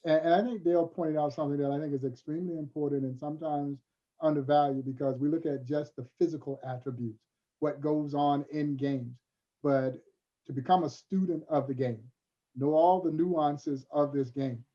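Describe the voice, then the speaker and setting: A man's voice: on the high side, steady tone, moderate pace. A man speaking as a historian on a panel, telling stories emphatically and oratorically, addressing young listeners directly. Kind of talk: animated storytelling on a panel. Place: virtual panel over video call. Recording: dull, lo-fi video call.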